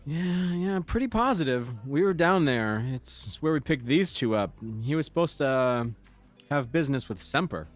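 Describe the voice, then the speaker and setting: A man's voice: on the high side, lively intonation, normal speed, soft background music, natural voice. A man playing a plain-spoken, casual, slightly hesitant gang leader in an audio drama. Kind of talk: scripted audio drama acting.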